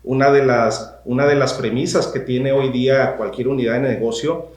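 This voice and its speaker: Give me a formal man on a podcast, mid-pitched voice, roomy echo around him. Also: fairly even intonation, normal speed, a little mellow. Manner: formal, addresses listeners directly, with hesitant restarts. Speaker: podcast co-host, university professor. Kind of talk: panel discussion on a podcast.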